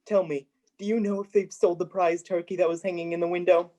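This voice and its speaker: Give the voice of a reformed old miser played by a young performer in an old radio play. The old gentleman is giddy and generous, exclaiming in hearty, courtly phrases at a middling pitch. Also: flat delivery, fairly quick, close to an everyday voice. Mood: delighted. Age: young adult. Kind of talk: 1930s radio play acting.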